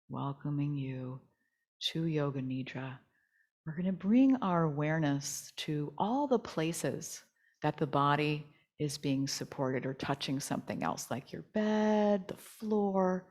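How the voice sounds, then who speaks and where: Low, slow-paced woman guiding relaxation. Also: lively intonation. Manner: soothing, gentle, unhurried. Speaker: yoga nidra teacher. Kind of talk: guided relaxation meditation.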